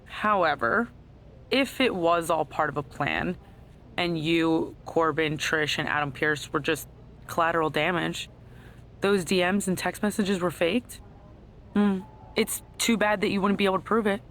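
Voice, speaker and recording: Smug scheming lady wrestling gm, lowish voice, condescending, video game cutscene, bit of noise behind. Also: measured pace, fairly bright tone. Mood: smug gloating. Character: smug scheming female wrestling general manager.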